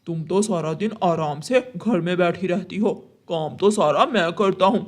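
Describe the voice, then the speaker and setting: A man's voice: sits high, normal speed. A man voicing a henpecked, grumbling crow husband with a bantering, complaining manner in a cartoon story. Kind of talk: Hindi cartoon story acting.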